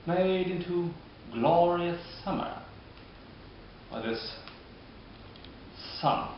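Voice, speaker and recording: A man performing a bitter, scheming deformed nobleman in a modern-style Shakespeare monologue, speaking deliberately with dramatic pauses. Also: medium pitch, creaky. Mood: mocking, brooding. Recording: dull, very echoey room, background hiss.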